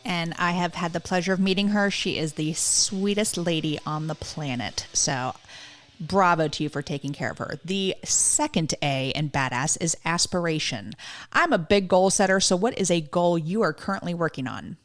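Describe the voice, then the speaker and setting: A woman's voice: lowish voice, animated, normal speed. A woman in her forties hosting a podcast interview, chatty and enthusiastic with her guest. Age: 40s. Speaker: podcast host.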